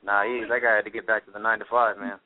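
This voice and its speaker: A man's voice: lowish voice, level tone, a crowd in the background. A man calling in to a radio show, bantering and teasing the other caller. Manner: teasing, quick-talking banter. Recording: phone line with faint hiss.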